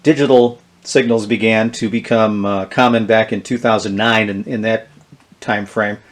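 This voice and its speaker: A man's voice: fairly low pitch, steady tone, normal speed. A man giving a casual, unscripted hands-on product walkthrough in a plainspoken, explanatory manner. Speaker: gadget reviewer. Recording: clear background noise and clicks.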